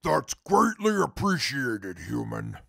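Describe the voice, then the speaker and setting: A stern, disdainful companion who takes charge, acted by a man. The delivery is slow, curt and deadpan, deeply creaky and rough, in a dry audio-drama recording. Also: dry recording, exaggerated voice. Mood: businesslike. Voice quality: very gravelly, husky. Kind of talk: comic post-apocalyptic audio drama acting.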